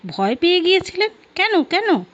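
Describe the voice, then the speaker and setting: A woman storyteller voicing a brisk, inquisitive young male accountant boss. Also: very high-pitched, very animated, voice pushed up. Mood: surprised. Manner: questioning, brisk. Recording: dull lo-fi audio, music behind.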